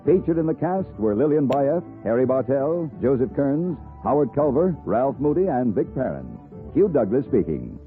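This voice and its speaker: A man's voice: medium pitch, very animated, normal speed, narrow, tinny audio. A thin old radio recording of a male announcer with some music. He delivers programme credits formally, in a vintage broadcast style.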